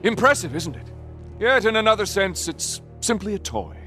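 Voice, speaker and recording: A man's voice: sits high, big pitch swings, moderate pace, sharp tone, soft background music, heavily acted. A man voicing a suave, scheming, grandiose villain in a video game, theatrical and condescending. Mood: smug boasting.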